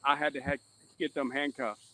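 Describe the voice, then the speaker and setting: A man's voice: medium pitch, little pitch movement, brisk, bright, forceful tone, low-quality recording. A middle-aged man telling his own story as a podcast guest, earnest and candid, circling back and repeating his points. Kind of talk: candid personal testimony in conversation.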